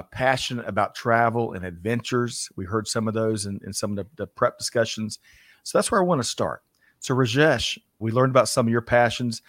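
An upbeat man hosting a casual talk show, with a mid-range pitch. He talks with lively energy and laughs often. His voice sounds dry and close to the mic. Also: dry recording. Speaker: podcast host.

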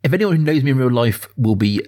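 A man's voice: medium pitch, animated, quick pace, darkish tone. A man co-hosting a casual film podcast, talking in a conversational, self-deprecating way. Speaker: podcast co-host.

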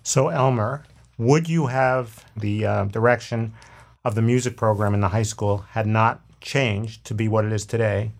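A man hosting an interview, asking slow, hesitant questions. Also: on the low side, gravelly.

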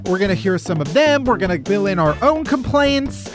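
A man's voice: sits high, very animated, normal speed, music in the background. A man hosting a comedy podcast and chatting in a theatrical, sweary way. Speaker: podcast host and stand-up comedian. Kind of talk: comedic chat, ranting.

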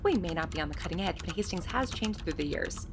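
A prim librarian in a small-town audio drama. Her voice is fairly low, dry and proper with an arch edge, and clear background noise sits behind her. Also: expressive, fast. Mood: prim, helpful.

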